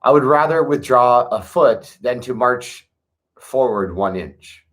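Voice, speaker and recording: A man reading an old wisdom text aloud in a measured, earnest way, with brief casual asides to his listeners. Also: medium pitch, animated, fairly bright tone. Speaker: reader of a classic text.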